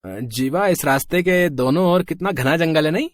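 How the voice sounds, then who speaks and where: A man's voice: on the high side, moderate pace, no echo, clean studio sound, close to an everyday voice. A man playing a cocky male office worker in a horror story. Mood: playful. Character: mocking, flirtatious male office worker and father. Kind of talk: Hindi horror story dialogue.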